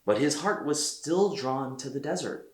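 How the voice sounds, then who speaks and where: A man reading a children's story aloud as a storyteller, with theatrical delivery and deliberate pauses. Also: fairly high pitch, lively intonation, reverberant. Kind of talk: expressive read-aloud narration for children.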